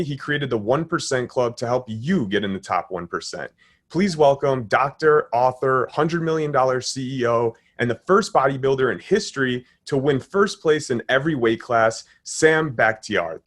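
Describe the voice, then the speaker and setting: A man's voice: mid-range voice, expressive, normal speed. A man in his thirties hosting a podcast interview over a video call, friendly and upbeat in a casual way. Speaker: host. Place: indoor living room, video call.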